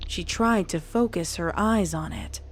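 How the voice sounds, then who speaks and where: A woman reading a dark story as dramatic scripted narration. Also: fairly low pitch, unhurried, over a noisy crowd. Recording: clearly noisy background.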